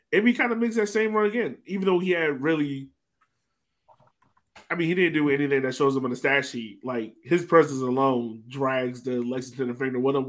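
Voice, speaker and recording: A man's voice: on the high side, fairly bright tone. A man hosting a casual sports podcast, chatting with his panel over a video call. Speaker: podcast host. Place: indoor room on a video call.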